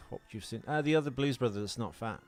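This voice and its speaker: A man hosting a casual film podcast, chatting in an animated, teasing, mock-incredulous way with his co-host. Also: medium pitch, fast talker, no room sound. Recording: music playing behind the talk. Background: orchestral film score.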